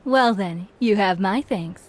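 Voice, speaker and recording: A woman's voice: mid-range voice, takes it slowly, a little hiss or hum, lightly acted. An actress playing a cheerful, polite young woman serving tavern customers in an anime game, speaking playfully. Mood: playful flattering.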